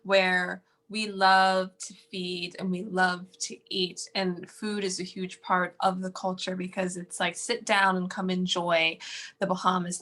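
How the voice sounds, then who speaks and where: Young woman guest, bright and slightly low, chatty and warm, many fillers, on a video call. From United States. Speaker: guest, professional dancer. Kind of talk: casual interview chat.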